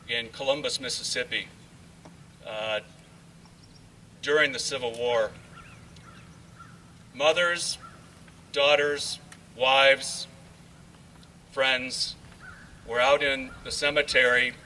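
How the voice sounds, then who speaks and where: A man addressing a ceremony as its host, speaking in a deliberate, halting way. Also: medium pitch, sharp tone. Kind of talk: public address with read-aloud passages. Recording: distant mic, faint background noise.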